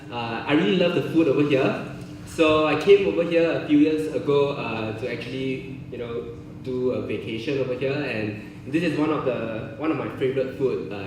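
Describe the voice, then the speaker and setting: Man, conference talk, halting with fillers, strong hall reverb, far from the mic. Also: mid-range voice. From Singapore. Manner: friendly, halting, many filler uhs. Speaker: conference speaker. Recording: very echoey hall, from far, noisy.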